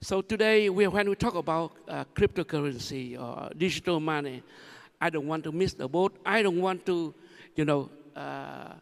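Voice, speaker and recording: A man of seventy with a Vietnamese accent gives an informal conference speech full of personal stories. His voice is fairly high and gravelly, and his phrasing is halting and repetitive. It rings in a large echoey hall. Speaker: conference speaker, new board member.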